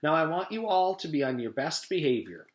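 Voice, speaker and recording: A man's voice: on the high side, big pitch swings, brisk, voice pushed up. A man voicing a strict but cheerful woman teacher addressing her class. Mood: teacherly. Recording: echoey room.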